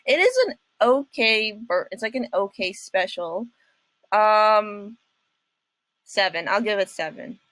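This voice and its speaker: A woman hosting a reaction video with casual commentary, speaking haltingly and often repeating her phrases. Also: medium pitch, a bit bright, no room sound. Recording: music behind the voice.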